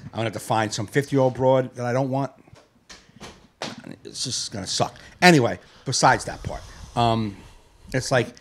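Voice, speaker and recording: A man's voice: mid-range voice, normal speed, pauses often, slight background noise. A man in his late forties, a podcast host, talking in a rambling, profane, reflective way. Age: 40s. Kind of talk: casual chat.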